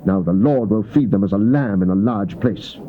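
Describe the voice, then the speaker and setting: A man's voice: lowish voice, normal speed. A man reads King James scripture in a solemn, theatrical, declamatory way, on an old cassette recording. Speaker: scripture reader. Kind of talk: dramatized King James Bible reading. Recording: dull, noisy old cassette tape recording.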